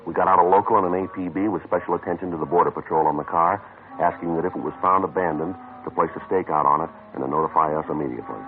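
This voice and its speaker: An actor playing a calm, dogged police detective who also narrates, speaking flat and matter-of-fact in an old radio drama. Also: male voice, low-pitched, racing, a little hiss or hum, natural voice. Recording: tinny lo-fi old radio broadcast.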